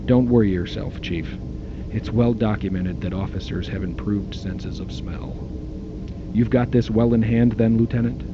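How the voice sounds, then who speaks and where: An actor playing a busy, wry starship first officer in a science fiction audio drama, dry and authoritative. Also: male voice, fairly low pitch, steady tone, normal speed, close to an everyday voice. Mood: wry, official. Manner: dry, sardonic, muttering to himself. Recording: dull lo-fi sound with faint noise.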